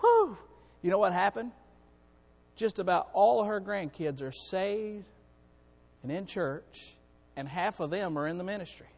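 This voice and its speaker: A hoarse man on church staff preaching a sermon to his congregation, in a folksy way that calls out to them. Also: high voice, animated, unhurried, long pauses, darkish tone, big-room sound. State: hoarse, has lost part of his voice. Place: church sanctuary.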